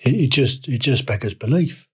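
A man hosting a solo podcast, ranting with indignant rhetorical questions and halting restarts, his voice a little croaky from a cold. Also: medium pitch, animated, darkish tone. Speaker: podcast host. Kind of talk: solo opinion rant.

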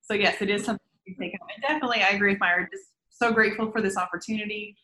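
Bright, fast-talking woman guest. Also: medium pitch, steady tone, low-quality recording. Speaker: guest employee. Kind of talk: casual studio chat.

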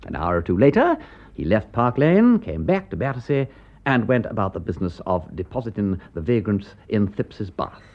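An actor playing an aristocratic English gentleman detective in a radio drama, with an upper-class English accent and a witty, breezy, urbane manner. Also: lowish voice, sing-song, moderate pace, lo-fi sound. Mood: animated explaining. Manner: aristocratic, breezy, clipped period phrasing.

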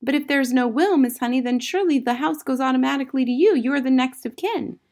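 A woman voicing a bright, indignant and eager schoolgirl in a storybook, asking emphatic, forthright questions. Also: sits high, fast, voice pushed up. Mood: indignant, insistent.

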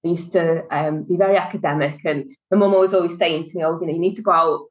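A woman's voice: on the low side, steady tone, quick pace, rough recording. A woman in her thirties with a strong Liverpool accent, answering interview questions. She talks in an easy, chatty way, winding through her story with plenty of fillers and restarts. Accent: Liverpool (Scouse). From Liverpool, England. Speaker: guest, HR consultancy director. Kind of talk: conversational interview.

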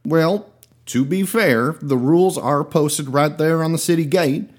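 A man's voice: fairly high pitch, unhurried, darkish tone. A man playing a crude, smarmy mob ringleader in a comedy sketch, casual, mock-polite and argumentative. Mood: smug, mock-polite.

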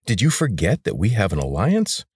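Narrator doing a measured, wary temple priest, close to his own voice. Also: fairly low pitch, slightly rough, no echo, heavily acted. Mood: indignant. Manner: calm, measured. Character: scheming male temple priest, calm and wary.

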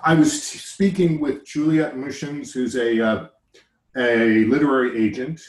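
A man's voice: medium pitch, measured pace. Joking, rambling American man in a roomy space. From United States. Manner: joking, rambling, self-interrupting. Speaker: podcast host. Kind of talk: casual chat.

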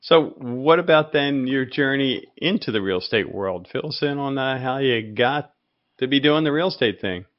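A man's voice: mid-range voice, expressive, moderate pace, highs cut off. A man hosting a podcast, interviewing a guest with upbeat, loosely phrased questions and short affirmations. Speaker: podcast host. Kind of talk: casual interview chat.

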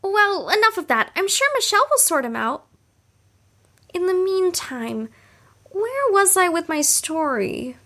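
A hyper, childlike sporty kid for an audio drama. The voice is extremely high and bouncy, chirping along eagerly with big swings in tone. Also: female voice, measured pace, full character voice. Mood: chatty. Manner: excitable, chirpy.

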